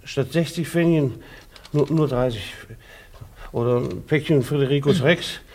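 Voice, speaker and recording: An actor playing a timid, needy husband turned soap peddler in a radio drama, hesitant and uneasy. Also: male voice, mid-range voice, normal speed, a little mellow, close to an everyday voice. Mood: nervous, apologetic. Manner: hesitant stammering when speaking to others. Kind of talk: radio drama narration and dialogue.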